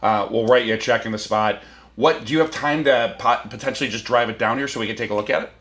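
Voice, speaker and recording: Middle-aged man, car buyer, rapid and upbeat on a sales call. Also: medium pitch, fairly bright tone. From Chicago area, USA. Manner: brisk, upbeat salesman talk. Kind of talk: phone negotiation.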